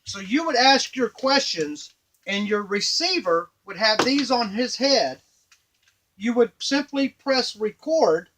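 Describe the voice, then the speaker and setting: A middle-aged man giving an informal, halting hands-on tutorial at a workbench, explaining step by step. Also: very high voice, expressive, fairly bright tone, rough recording. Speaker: device maker and demonstrator. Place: small indoor workshop at a workbench. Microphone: camera a few feet away.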